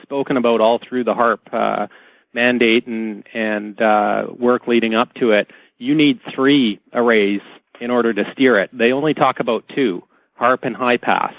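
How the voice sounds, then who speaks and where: A man speaking as an expert guest on a radio show, explaining technical details in a halting way. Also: mid-range voice, fairly even intonation, creaky, dry recording. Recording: dull band-limited radio call audio.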